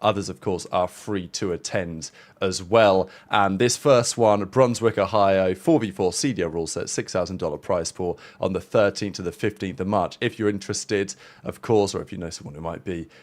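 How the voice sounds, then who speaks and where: Low-voiced podcast host. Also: male voice, moderate pace, few pauses. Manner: upbeat, presenter-like, reads sponsor and event plugs. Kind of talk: casual esports podcast chat.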